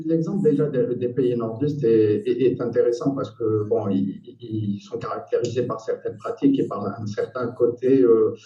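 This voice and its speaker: A man debates as an election candidate, with a very mellow, dark timbre at a medium pitch. He is measured, pausing and repeating himself. He sounds distant in an echoey room, on dull audio. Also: fairly even intonation. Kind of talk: political debate, spontaneous argument.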